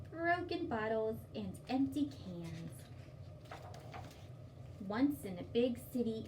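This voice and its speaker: A woman's voice: a little high, darkish tone. A woman hosting storytime, reading aloud and explaining to young children in simple, instructive phrases. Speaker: children's storytime host at a library. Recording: distant mic, faint background noise.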